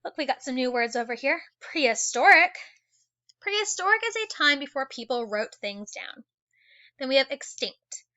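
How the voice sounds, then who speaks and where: Female teacher for children's nonfiction read-aloud. Fairly high, bright, expressive delivery with chatty asides; slightly dull recording. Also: moderate pace. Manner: animated, exclaiming and wondering aloud for young listeners.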